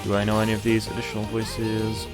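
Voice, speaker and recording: A man's voice: fairly low pitch, monotone, fast. A man giving casual live commentary as a game streamer, muttering wry, mildly exasperated asides. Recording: music playing behind the voice. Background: video game music.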